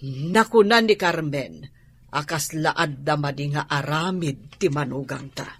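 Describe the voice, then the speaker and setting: A woman's voice: sits low, measured pace, husky, no echo, full character voice. A woman with an Ilocano accent playing a worried mother in a radio drama.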